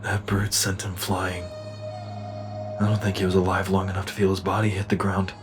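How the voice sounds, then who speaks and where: A man's voice: low voice, huge pitch swings, normal speed, faint music behind. A man playing an earnest male soldier in a sci-fi audio drama, casual and plain-spoken. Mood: downcast. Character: earnest, troubled male soldier, reluctant squad leader.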